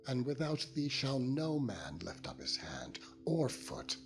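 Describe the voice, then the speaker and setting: Man acting ancient Egyptian king, stately, emphatic, slow, swooping pitch, dramatized scripture. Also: sing-song, creaky, full character voice. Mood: regal, solemn. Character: Pharaoh, commanding king of Egypt granting great authority. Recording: loud music under the voice.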